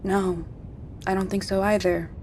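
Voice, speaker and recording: Noisy ambience surrounds a tough American ex-marine survivor played by a woman in an audio drama. She talks bluntly and guardedly, with a defiant edge and a medium-pitched voice. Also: little pitch movement, a little slow, a little mellow, natural voice.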